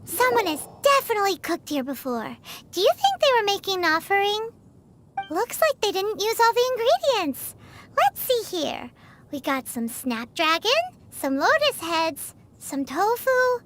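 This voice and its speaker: A woman voicing a tiny, curious, cheeky childlike fairy companion in a video game, with a squeaky voice and exclamatory manner. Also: very animated, measured pace, a bit bright, exaggerated voice. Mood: curious, chatty. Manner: refers to herself in the third person, exclamatory. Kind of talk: video game character dialogue.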